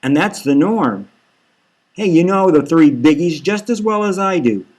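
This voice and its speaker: A man's voice: on the high side, animated, unhurried, a little mellow. A man voicing a slick, patronizing male boss in a satirical audiobook, with a folksy, cajoling hard-sell manner. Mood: blunt hard sell. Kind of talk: satirical audiobook character reading.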